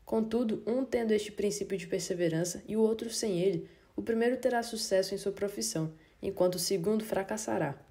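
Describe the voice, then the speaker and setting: A woman narrating a nonfiction audiobook in a steady, measured reading voice. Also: medium pitch.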